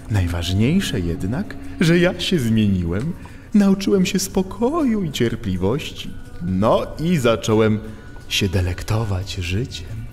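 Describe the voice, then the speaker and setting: A cocky animal master thief in a children's audio drama. He is smug and mocking, stretching words slowly with lively swoops. His voice rings in a long reverb over music. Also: medium pitch. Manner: smug, mocking, drawn-out theatrical delivery.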